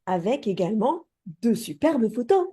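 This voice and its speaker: A woman with a soft, mellow voice hosts an online video call. She talks chattily, walking through her own results before passing the word to a guest. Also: fairly high pitch, sing-song, moderate pace, slightly rough. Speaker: host. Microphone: video call mic.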